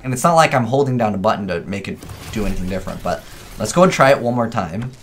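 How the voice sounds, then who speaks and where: A man with a fairly low voice talks casually through gameplay, working things out aloud as he goes. He hesitates and questions himself often, with noticeable noise behind him. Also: expressive, quick pace. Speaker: gaming video creator. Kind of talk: casual off-the-cuff gameplay commentary.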